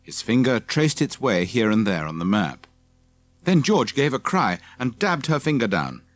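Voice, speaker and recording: A man's voice: mid-range voice, sing-song, normal speed. A man reading scripted children's story narration as an audiobook narrator.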